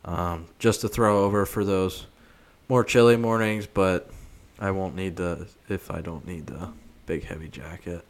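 A man's voice: sits low, unhurried. A man co-hosting a podcast, chatting laid-back and casually about his hunting gear. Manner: laid-back, brief remarks. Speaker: podcast co-host.

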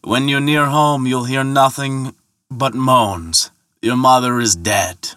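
Man doing a scathing, insolent ferryman, forceful delivery. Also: mid-range voice, steady tone, recorded from a distance. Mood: mocking. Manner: scathing, slow, mocking drawl. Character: sly, taunting, insolent male ferryman. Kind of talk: dramatic mythological storytelling.

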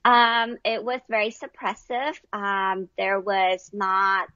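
A woman's voice: medium pitch, little pitch movement, slow pace, sharp tone, dry recording, lo-fi sound. A middle-aged woman from Vietnam, answering casually as an interview guest. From Vietnam, moved to the US at eleven.

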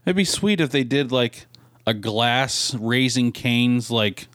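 A man's voice: medium pitch, lively intonation, slow pace, fairly bright tone, no room sound. A man co-hosting a podcast, chatting casually in a laid-back way with short, simple remarks. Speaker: podcast co-host. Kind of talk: casual chat.

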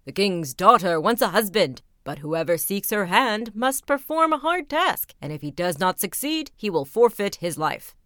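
A woman's voice: medium pitch, sing-song, moderate pace, hardly any pauses, dry recording, studio quality. A woman voicing a male herald crying out a proclamation. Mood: proclaiming. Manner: proclaiming loudly. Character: loud male herald on horseback.

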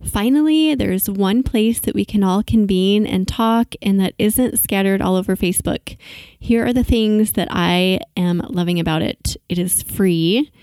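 A woman's voice: medium pitch, measured pace, darkish tone, no echo. A woman hosting a podcast, reading promos and introducing a guest with bubbly enthusiasm. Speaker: podcast host. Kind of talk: podcast ads and interview.

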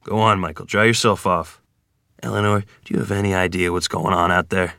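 A man's voice: sits low, fairly even intonation, fairly quick, creaky, sharp tone, no room sound, studio quality, heavily acted. A man playing a gritty, determined 1920s river ferryman in a screenplay table read, terse and commanding. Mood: tense, stern.

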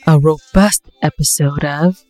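A woman's voice: sits low, steady tone, a little slow, mellow, no room sound, faint music behind. A woman co-hosting a podcast in a casual, playful, teasing way. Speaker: podcast co-host. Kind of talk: casual banter between co-hosts.

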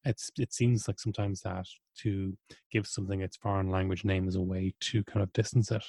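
A man's voice: low voice, flat delivery, a little fast, vocal fry, a little mellow, slightly rough, no room sound, clean studio sound. A man who speaks Irish, talking things through in a casual conversation, hesitant and full of restarts. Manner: thoughtful, hesitant, many restarts and fillers.

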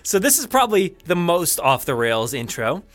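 A man's voice: on the high side, big pitch swings, moderate pace, faint music behind. A man with a New Jersey accent hosting a podcast in a casual, rambling, jokey and self-deprecating way. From New Jersey, USA. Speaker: podcast host.

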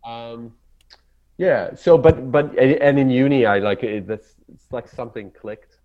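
A man from Israel with an Israeli accent, English not his native tongue, talking casually as a guest and often pausing to search for words. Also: mid-range voice, narrow, tinny audio. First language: Hebrew. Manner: halting, searching for words, many fillers and restarts. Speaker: ecologist guest. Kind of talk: casual interview talk.

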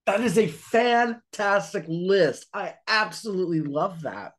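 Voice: mid-range voice, lively intonation, a little slow. Cheerful interview host, gushes, keeps it light and easy. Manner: upbeat, gushing. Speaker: podcast host. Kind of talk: podcast interview.

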